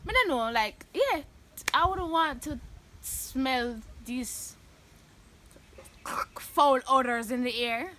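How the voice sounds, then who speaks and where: A young Jamaican woman hosting her vlog, chatting animatedly and breaking into loud laughs and shouts. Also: sits high, lively intonation, slow, pauses often. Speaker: vlogger host. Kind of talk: casual chat answering questions.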